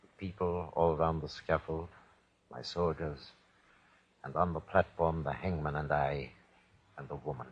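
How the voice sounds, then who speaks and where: An actor playing a cruel, rattled Nazi army captain, formal and clipped, in a 1940s radio horror style. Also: male voice, a bass voice, steady tone, measured pace, pauses often, background noise. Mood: grim, brooding. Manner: clipped, formal report-giving that breaks into barked orders. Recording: dull, lo-fi vintage radio recording.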